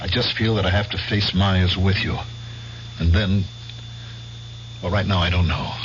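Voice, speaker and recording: A muffled old radio recording with heavy noise carries an actor as a guilt-ridden veteran near forty. His voice is lowish and earnest, with a hesitant, troubled delivery in radio-drama style. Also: steady tone, moderate pace, lightly acted. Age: 30s. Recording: muffled, noisy radio broadcast recording, humming.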